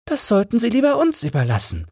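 A tiny storybook sparrow played by a man, pitched far up in a chirping register. The little bird is cheeky and full of opinions, speaking with self-assured pluck, in a dry, close recording. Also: huge pitch swings, brisk, gravelly, breathy edge, no room sound, full character voice. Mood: smug. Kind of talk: character voice in a fairy tale audiobook.

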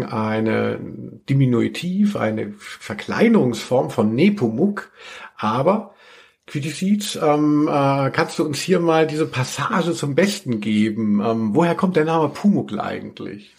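A man's voice: medium pitch, animated, slow, vocal fry, a little mellow. A man hosting a podcast in casual chat, rambling and often correcting himself as he goes. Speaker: podcast host.

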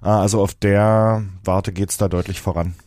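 A man's voice: fairly low pitch, steady tone, normal speed, darkish tone, no echo, clean studio sound. A man giving technical explanations in conversation as a guest.

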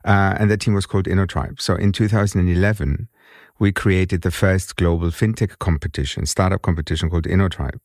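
Deep-voiced man, British-German accent. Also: steady tone, darkish tone, dry recording, clean studio sound. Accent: mixed British and German. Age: 50s. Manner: frequent restarts and repeated words, measured storytelling. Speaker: guest. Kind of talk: conversational interview.

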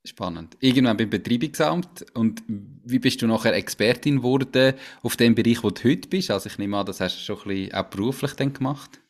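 A man's voice: medium pitch, fairly quick, few pauses. A man hosting a podcast, asking curious follow-up questions. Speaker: podcast host. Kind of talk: casual interview.

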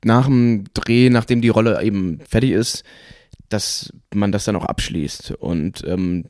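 A young man from Cologne, a guest actor in a relaxed podcast chat, talking loosely with lots of hesitant fillers and easy laughs. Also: fairly low pitch, measured pace, no echo. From Cologne, Germany. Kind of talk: casual podcast interview.

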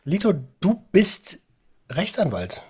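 A man hosting a podcast, talking in an upbeat, animated casual way while he has a cold. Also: high-pitched, slow, husky. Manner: upbeat, animated, says "geil" and "Alter". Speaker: podcast host.

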